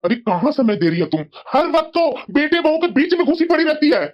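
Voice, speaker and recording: A woman's voice: high-pitched, animated, a little fast, dull audio, a little hiss or hum. A woman voicing a sensible middle-aged husband in a family moral-story cartoon, scolding and lecturing reproachfully.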